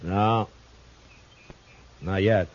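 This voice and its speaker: A man playing a steady, authoritative American marshal in an old radio western, firm and measured. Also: sits low. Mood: steady, intent. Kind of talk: old-time radio western acting and narration. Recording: muffled old radio recording with faint noise.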